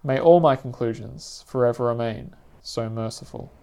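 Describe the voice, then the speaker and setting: A man reads his own personal essay aloud in a reflective, literary and measured manner. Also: mid-range voice, creaky, warm tone, smooth. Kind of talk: scripted personal essay narration.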